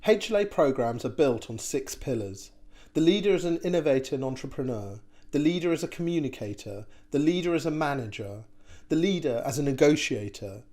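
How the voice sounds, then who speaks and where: A man's voice: a little high, lively intonation, a little fast, warm tone. A man narrating a scripted programme introduction. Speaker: programme announcer.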